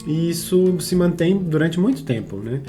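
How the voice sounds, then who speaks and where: A man co-hosting a casual podcast, talking haltingly with frequent restarts and tag questions. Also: on the high side, animated, warm tone, soft background music. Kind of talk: casual conversational podcast chat.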